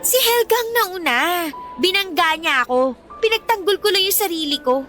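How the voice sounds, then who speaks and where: A woman's voice: very high-pitched, lively intonation, normal speed, bright, forceful tone, faint music behind, exaggerated voice. A woman playing a spiteful, haughty teenage bully in a Filipino radio drama, with taunting, sarcastic delivery. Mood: spiteful, defiant.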